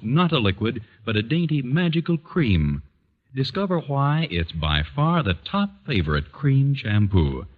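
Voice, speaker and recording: A male commercial announcer in vintage radio style. His pitch swings in a lively way as he sells in emphatic, clipped phrases, on a dull lo-fi recording. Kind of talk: 1940s radio advertisement read.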